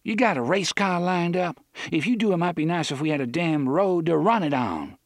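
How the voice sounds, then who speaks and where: A brassy, sarcastic woman with a sharp tongue and a raised, lively voice, as a male narrator puts her on. She swears freely and sounds fed up. The recording is dry and close. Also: a little high, moderate pace, no echo, voice pushed up. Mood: scornful. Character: gruff sharp-tongued woman, wheelchair traveler.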